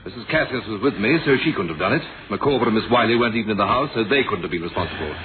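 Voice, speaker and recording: An actor playing a shrewd, firm police inspector with a measured, authoritative manner in a radio drama. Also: male voice, fairly low pitch, expressive, noisy background, lightly acted. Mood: calm, stern. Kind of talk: 1970s radio drama acting. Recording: tinny, crowded old radio broadcast.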